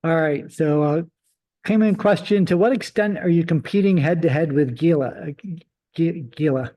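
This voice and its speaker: A man hosts a live webinar, answering his guest with short approving remarks and now and then tripping over the start of a sentence. His voice sits a little high but sounds mellow and warm. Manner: affirming, brief reactions, halting restarts. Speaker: host.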